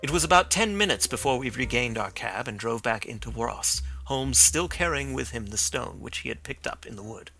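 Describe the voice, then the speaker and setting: A male audiobook narrator telling a classic story with steady, measured phrasing. His voice is bright and forceful, with a gravelly rasp and lively, animated pitch movement. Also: faint music behind, poor audio.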